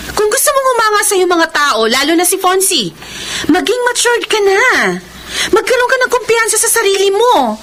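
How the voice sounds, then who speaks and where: A woman's voice: extremely high pitch, expressive, normal speed, a bit bright, a little hiss or hum. A Filipino actress playing a kind, considerate young working woman and elder sister in a radio drama, with a polite manner. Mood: earnest, frustrated. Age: young adult.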